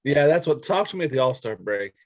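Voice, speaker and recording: A man's voice: mid-range voice, sing-song, fast. A man co-hosting a sports show, putting questions to his co-hosts in casual, playfully theatrical banter.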